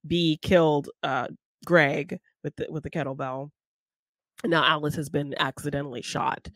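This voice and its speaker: A woman's voice: low-pitched, fairly even intonation, normal speed, no echo. A woman co-hosting a podcast, casually retelling a film in an informal, conversational way. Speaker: podcast co-host.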